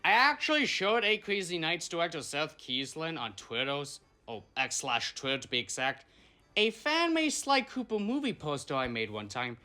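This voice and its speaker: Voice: mid-range voice, very animated, normal speed, pushed and bright. A video host giving casual, opinionated commentary from a script, with some swearing.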